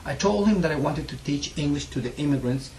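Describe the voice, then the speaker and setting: A man in his forties from Ecuador with a heavy Spanish accent, telling his life story in an interview, earnest and halting with many fillers and restarts. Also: on the high side, animated, darkish tone, slight background noise, poor audio. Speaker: interviewee, school owner.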